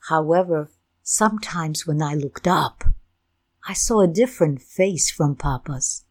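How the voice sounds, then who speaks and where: An older woman whose first language is Ukrainian, telling her family's story in a slow, deliberate, reflective way. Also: low voice, darkish tone. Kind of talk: personal storytelling talk.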